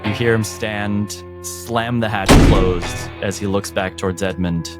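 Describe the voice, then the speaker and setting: Very low-pitched, slow male game master. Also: fairly even intonation, over loud music. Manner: measured, descriptive. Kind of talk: tabletop game narration.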